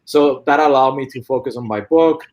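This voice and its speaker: A man speaking as a guest on a live talk, reflective and measured. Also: mid-range voice, a little mellow. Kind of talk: interview answers.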